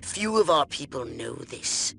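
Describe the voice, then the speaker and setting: A man's voice: mid-range voice, expressive, measured pace, gravelly, bright, forceful tone, a little hiss or hum, heavily acted. A man playing a haughty, irritable alien scientist commander in a video game, with pompous, theatrical delivery and precise wording. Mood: grave, confiding. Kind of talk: animated video game voice acting.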